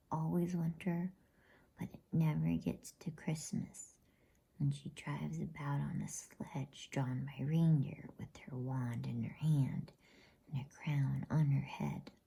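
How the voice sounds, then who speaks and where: A woman reading a storybook voices an earnest, trusting young girl in a breathy whisper. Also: deep voice, fairly even intonation, unhurried, mellow, exaggerated voice. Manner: eager, earnest.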